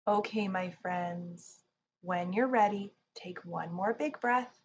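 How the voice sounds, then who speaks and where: Woman doing calm kids relaxation reading, slow measured pace, soft gentle manner, slightly muffled recording. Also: fairly low pitch. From Canada. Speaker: children's yoga teacher reading and guiding relaxation. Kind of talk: slow guided relaxation and read-aloud for children. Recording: slightly dull sound, faint noise.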